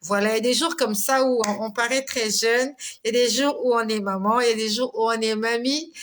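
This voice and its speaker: Bright-voiced woman in her forties. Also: on the high side, steady tone, fairly quick, a little hiss or hum. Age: 40s. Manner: exhorting, laughs often, chatty. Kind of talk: casual live devotional talk reading viewer comments.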